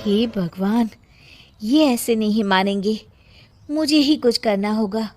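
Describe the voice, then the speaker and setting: A shrewd, caring young daughter-in-law from a cartoon drama , played by a woman. Her voice is high and earnest, with a worried, measured delivery. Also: music in the background. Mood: worried, determined.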